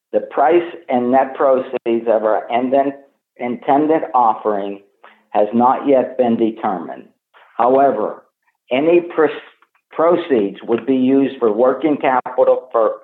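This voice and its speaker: A man formally reading prepared remarks as a company executive on an earnings call over the phone. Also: medium pitch. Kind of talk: scripted earnings call presentation. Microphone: telephone conference call. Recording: tinny phone line with echo.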